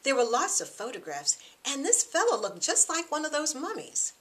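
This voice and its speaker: A middle-aged woman reading her own novel aloud in expressive scripted narration. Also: high-pitched, normal speed.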